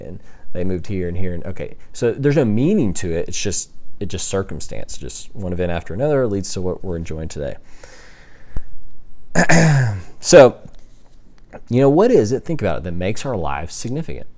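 Deep-voiced man lecturing a class. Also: darkish tone. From Texas. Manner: casual, thinking aloud with restarts and questions to the class. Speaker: teacher.

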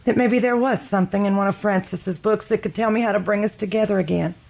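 A woman's voice: mid-range voice, fairly even intonation, fast talker, creaky, darkish tone. A woman playing a guilt-stricken, distraught woman in an old-time radio drama, earnest and melodramatic. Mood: accusing, intense.

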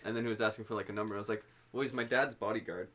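Low-voiced man chatting casually. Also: fairly even intonation, rapid-fire, fairly bright tone. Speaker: podcast co-host. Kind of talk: casual chat.

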